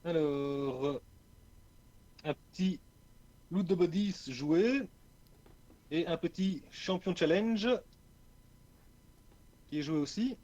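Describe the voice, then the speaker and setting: High-voiced Belgian man commentating. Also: takes it slowly, long pauses, warm tone, dull audio. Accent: Belgian French. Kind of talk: casual game commentary.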